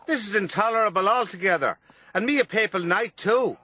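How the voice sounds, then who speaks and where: Flustered gent in radio play, high voice, animated bluster, busy crowd around him. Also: male voice, a little fast. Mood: indignant protest. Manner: blustering, theatrical. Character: flustered, indignant Irish gentleman in fine dress. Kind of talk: radio drama acting. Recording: crowd noise behind.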